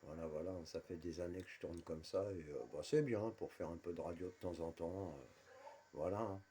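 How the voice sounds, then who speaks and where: A man's voice: deep voice, fairly even intonation, brisk, mellow, slightly rough. A man chatting casually as a radio operator, repeating his confirmations and using radio jargon. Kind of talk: casual radio contact chat.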